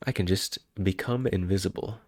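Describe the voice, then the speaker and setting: A man's voice: sits low, moderate pace, darkish tone, no room sound, clean studio sound. A man with a head cold, sounding congested, chatting casually and rambling on a live stream. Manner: rambling, self-interrupting, chuckling.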